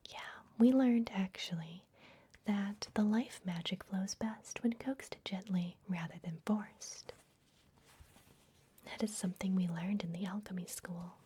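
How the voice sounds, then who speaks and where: A woman voicing a secretive, gentle vampire librarian in a breathy, soothing whisper for an ASMR fantasy roleplay. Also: medium pitch, slight background noise, close to an everyday voice. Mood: gentle, instructive. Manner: slow, soothing, confiding.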